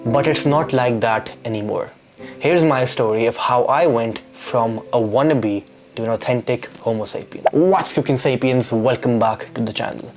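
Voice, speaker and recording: Young man, earnest vlogger, middle pitch, faint music bed. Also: moderate pace. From Pakistan. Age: 20s. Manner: earnest and reflective, with rhetorical questions. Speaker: YouTube creator. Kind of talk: reflective scripted vlog monologue.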